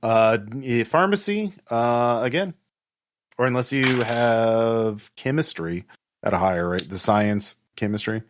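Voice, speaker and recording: A man running a tabletop horror game, narrating off the cuff and haltingly as he thinks aloud. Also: medium pitch. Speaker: game master.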